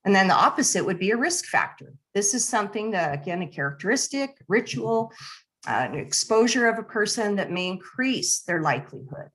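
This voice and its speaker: Woman, slightly hoarse, friendly webinar presenter, video call. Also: mid-range voice, very animated, a little fast, fairly bright tone, low-quality recording. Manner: friendly, conversational, with fillers and restarts. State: slightly hoarse, clears throat. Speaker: nonprofit area director presenting a webinar. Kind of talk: online presentation to attendees.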